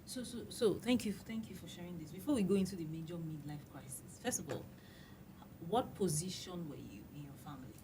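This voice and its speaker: A woman's voice: fairly low pitch, animated, a little fast, warm tone, a little hiss or hum, low-quality recording. A woman coach hosting an interview, enthusiastic and affirming, often murmuring agreement. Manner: enthusiastic, affirming with frequent "mm" and "yes". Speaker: host and coach.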